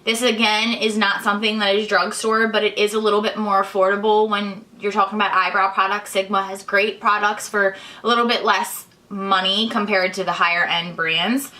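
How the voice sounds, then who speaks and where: A young woman, hoarse and congested, chatting casually while she explains each step of her makeup tutorial. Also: medium pitch, flat delivery, normal speed, few pauses, sharp tone. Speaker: beauty vlogger. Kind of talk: chatty get-ready-with-me tutorial.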